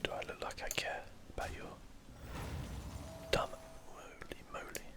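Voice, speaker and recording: Whispering ASMR gamer, hushed and breathy. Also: a little slow. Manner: casual, self-deprecating, mildly sweary. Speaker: gaming channel host. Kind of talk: whispered ASMR game commentary.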